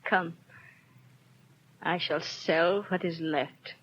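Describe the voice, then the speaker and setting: Destitute mother, 1930s radio: anguished, very slow and halting, muffled old recording. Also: lowish voice, steady tone, a bit bright, a little hiss or hum. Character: desperate, destitute mother in despair. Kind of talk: 1930s radio drama acting.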